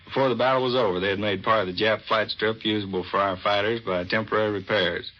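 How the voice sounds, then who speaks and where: A man's voice: lowish voice, steady tone, fast talker. An American man, a navy commander, giving a plain, matter-of-fact scripted interview on 1940s radio. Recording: dull vintage 1940s radio recording.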